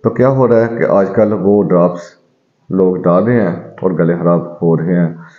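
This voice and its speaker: A man who is a homeopathic doctor, calmly explaining home eye remedies to his viewers and addressing them as friends. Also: low-pitched, normal speed. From Pakistan. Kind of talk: health advice video to viewers. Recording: dull, echoey room recording.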